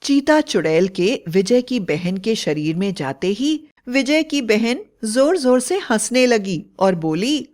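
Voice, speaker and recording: A woman's voice: fairly high pitch, sing-song, slow pace, few pauses, darkish tone. A woman narrating a scripted horror fairy tale in a dramatic storytelling style.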